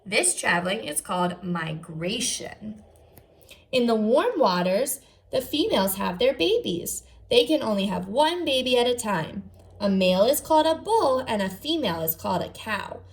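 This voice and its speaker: A woman's voice: on the high side, very animated, moderate pace. A woman teacher reading aloud to young children, enunciating carefully and adding short explanatory asides. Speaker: first grade teacher.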